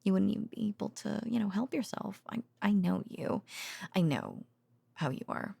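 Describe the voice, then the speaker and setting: A woman playing a tender, worn-out researcher girlfriend in an intimate bedtime audio roleplay, speaking haltingly and drifting into whispers. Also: mid-range voice, animated, vocal fry, warm tone, husky, heavily acted. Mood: fond, protective worry.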